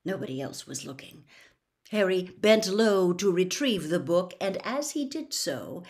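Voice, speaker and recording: A woman reading a story aloud in an expressive narrating style. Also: on the low side, unhurried. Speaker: audiobook reader.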